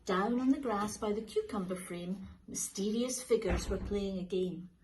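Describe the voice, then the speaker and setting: A woman teacher reading a children's picture book aloud in a slow, lilting, expressive way. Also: medium pitch, a little hiss or hum, rough recording. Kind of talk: storybook read-aloud for children.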